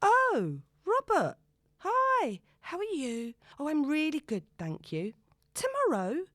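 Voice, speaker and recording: A woman voicing an excitable young single woman in an audiobook, bubbly and self-consciously breezy. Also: sits high, slow, dry recording, clean studio sound, voice pushed up, full character voice. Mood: breezy, excited.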